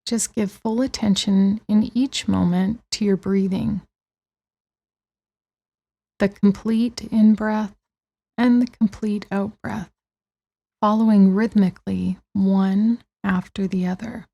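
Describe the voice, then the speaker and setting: A woman guides a meditation with a soft, mellow tone and a creaky edge. She speaks gently and very slowly, letting long pauses settle between her soothing phrases. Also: medium pitch, fairly even intonation, dull audio. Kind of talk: guided body-scan meditation.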